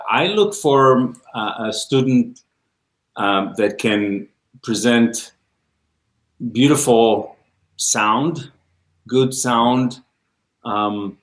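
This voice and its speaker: A man with an Israeli accent, Hebrew his first language, talks in a fairly low voice, pausing often with ums and restarting his sentences. He is on a video call, and the room gives his voice a noticeable echo. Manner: hesitant, many ums and restarts. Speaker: music teacher and guest. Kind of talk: casual interview chat. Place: room, livestream. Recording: roomy echo.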